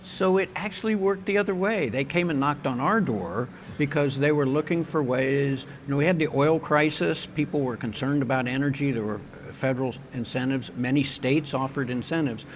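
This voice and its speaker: An older man, a company president, answering interview questions on a conference stage in a measured, engineer-like way with frequent ums and restarts. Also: mid-range voice, slight background noise. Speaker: wind turbine company president.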